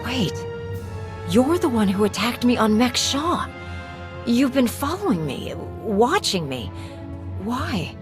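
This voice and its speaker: An actress playing an earnest, resolute heroic commander in a video game. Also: female voice, a little high, big pitch swings, slow, long pauses. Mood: questioning. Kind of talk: dramatic video game acting. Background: music.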